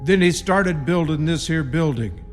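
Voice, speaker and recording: A man's voice: a little high, moderate pace, lightly acted. A man playing an ominous rural caretaker in a radio horror play, giving grim, cryptic warnings in folksy country grammar. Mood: cryptic, grave. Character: ominous rustic caretaker, grimly warning intruders. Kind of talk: radio horror drama acting. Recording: long reverb with music behind.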